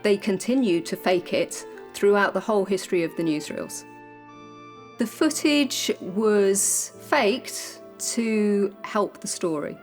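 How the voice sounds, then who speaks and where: A woman's voice: mid-range voice, slow pace, soft background music. A woman gives explanatory interview commentary in a documentary. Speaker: interviewed commentator on newsreel history.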